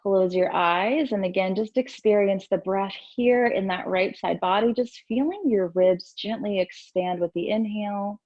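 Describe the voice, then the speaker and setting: A woman yoga teacher leading gentle breath work and movement in a soothing, unhurried way, with pauses between instructions. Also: medium pitch, highs cut off.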